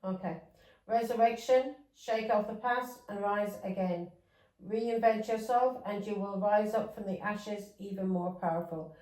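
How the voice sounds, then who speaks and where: A woman's voice: medium pitch, a little slow, warm tone, reverberant, recorded from a distance, low-quality recording. A woman from England with an English accent with Irish touches, giving a casual tarot reading that rambles, repeats words and drifts into chatty asides. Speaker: tarot reader.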